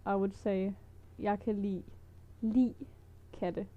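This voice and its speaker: Slow, soft Danish woman with a muffled mic. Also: mid-range voice, little pitch movement. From Denmark. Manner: hesitant, many fillers and restarts, laughs often. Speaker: language teacher vlogger. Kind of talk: casual vlog lesson.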